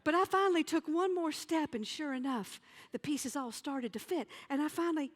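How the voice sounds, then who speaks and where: A woman with a Southern US accent telling comic stories on stage in a folksy, dramatic manner with pauses for effect. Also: high voice. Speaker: comedian and storyteller. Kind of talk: theatrical comic storytelling to an audience. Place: theater stage.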